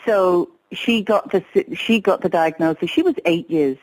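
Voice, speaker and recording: A woman's voice: lowish voice, poor audio. A middle-aged woman speaks as a guest on an interview show. She talks in a reflective, hesitant way, stopping often to search for words. Her tone stays open. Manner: soft, hesitant, reflective, with pauses and fillers.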